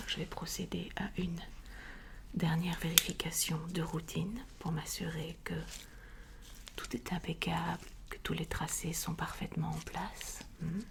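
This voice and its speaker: A woman playing a calm, attentive cosmetic surgeon in a whispered ASMR roleplay, slow, gentle and reassuring with a breathy voice. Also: sits low, noisy background, exaggerated voice.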